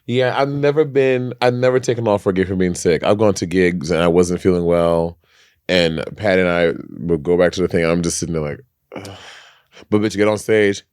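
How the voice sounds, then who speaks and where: A man co-hosting a podcast in casual chat, animated and campy, who swears freely. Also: sits low, normal speed, clean tone, dry recording, studio quality. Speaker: podcast co-host.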